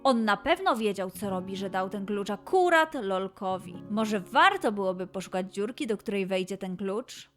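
A woman narrating a scripted children's story in an expressive way, with dramatic pauses and emphasis. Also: mid-range voice, soft background music.

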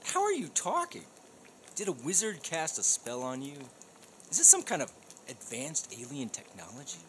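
Man playing wildly animated American cop. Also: on the high side, moderate pace, a little hiss or hum. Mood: incredulous. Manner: incredulous, exaggerated questioning. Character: excitable, gullible male American cop. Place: small studio stage, live audience. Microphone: studio condenser mic.

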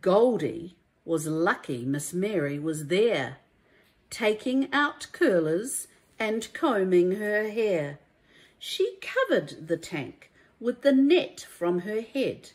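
A woman reads a children's storybook aloud like a primary teacher, in a deliberate, emphatic and expressive way. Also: medium pitch. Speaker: primary school teacher reading a story to young pupils.

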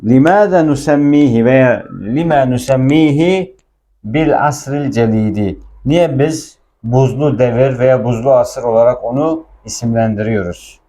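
A man's voice: mid-range voice, unhurried, mellow. A man giving an informal language lesson, patiently repeating and explaining words as a teacher.

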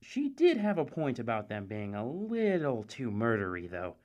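Woman acting as bored teasing goddess, audio drama, low voice, very animated intonation. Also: moderate pace, dull audio, exaggerated voice. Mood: dry amusement. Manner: sardonic, teasing. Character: snarky, flirty goddess co-narrator, bored and teasing.

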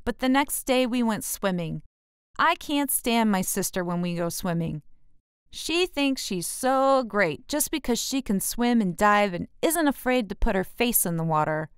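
A woman's voice: medium pitch, lively intonation, measured pace, smooth, no echo, studio quality, close to an everyday voice. A woman voicing a jealous, defiant six-year-old boy in a children's storybook. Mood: sulky, defensive.

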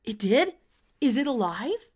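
A woman voicing a friendly, excitable male doctor in a storybook, full of brisk, eager questions. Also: fairly high pitch, breathy edge, pitched above the performer's own voice, full character voice.

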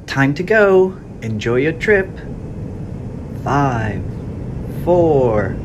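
A man reading a children's picture book aloud in an expressive, playful, measured way, as an animated storyteller. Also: medium pitch, muffled recording. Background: sound effects, chimes, vehicle noise.

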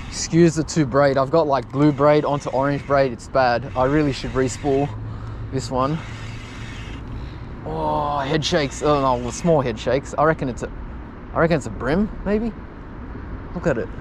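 A young man commentating his own fishing live from an outdoor wharf at night, chatty and loudly excited when fish bite. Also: mid-range voice, takes it slowly, long pauses. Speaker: fishing vlogger. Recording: outdoor night recording with background noise.

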